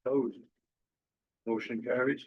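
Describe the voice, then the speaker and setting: A man runs a formal board meeting, moving briskly through motions and votes in set phrases. The sound is slightly dull, like a plain meeting-room recording. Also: medium pitch, fairly even intonation, a little hiss or hum. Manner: brisk, procedural, formulaic motions. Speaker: board chair.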